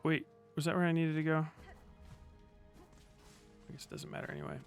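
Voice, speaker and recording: A man's voice: fairly high pitch, lively intonation, brisk, gravelly, faint music behind. A man chatting casually on a livestream as he plays a video game, rambling in slangy, profane language. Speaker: live streamer.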